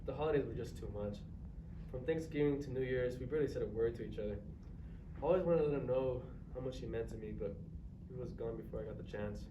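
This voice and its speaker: A man's voice: medium pitch, fast talker, dull audio, close to an everyday voice. A male drama student playing a bitter, closed-off, self-centred man in a staged reading. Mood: wounded, sad. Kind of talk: staged reader's theatre performance. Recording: distant echoey noisy live stage recording.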